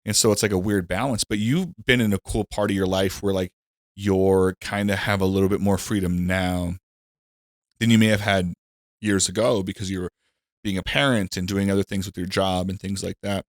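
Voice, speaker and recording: Low-voiced joking man in his thirties. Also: steady tone, normal speed, dry recording, studio quality. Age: 30s. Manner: joking, loose, swears casually. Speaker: podcast host. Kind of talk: casual comedic chat.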